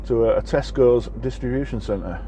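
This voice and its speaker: A man's voice: mid-range voice, moderate pace, dark tone. A tired man with a Yorkshire accent, chatting casually and rambling with lots of ums as he drives his truck. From Yorkshire, England. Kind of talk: casual chat while driving. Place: truck cab on the road. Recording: engine and road noise in a truck cab.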